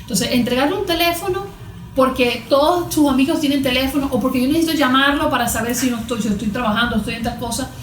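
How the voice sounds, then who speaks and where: A woman speaks as an expert guest in an interview. Her voice sits a little high and she explains things emphatically, often posing questions to make her point. The recording has a clear room echo. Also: quick pace, slight background noise. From Venezuela. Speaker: guest therapist. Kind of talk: conversational interview answers on parenting. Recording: roomy, echoing sound.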